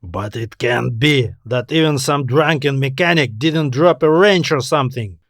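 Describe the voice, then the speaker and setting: A man's voice: mid-range voice, unhurried, dry recording, studio quality, lightly acted. A man voicing a brash, irritable male space explorer with a dismissive, slangy, emphatic delivery in an audio story.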